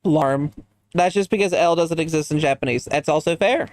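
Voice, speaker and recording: A man's voice: fairly high pitch, fairly quick, no echo, studio quality. A man streaming a game in casual chat, rambling and reacting to viewers with goofy asides. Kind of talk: casual chat while gaming.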